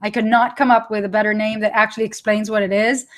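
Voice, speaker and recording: A woman's voice: mid-range voice, little pitch movement, quick pace, fairly bright tone. Upbeat Israeli woman hosting a webinar. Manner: chatty, reads live chat and reacts to viewers. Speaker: webinar host presenting her product. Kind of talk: live webinar presentation.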